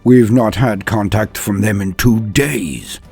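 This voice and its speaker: A man voicing a stern, weary army commander in an audio story, slow and deliberate. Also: lowish voice, vocal fry, darkish tone, husky, faint music behind, exaggerated voice. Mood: stern, pressing. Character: stern, weary male army commander questioning an old friend. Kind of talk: dramatic audio story character voice.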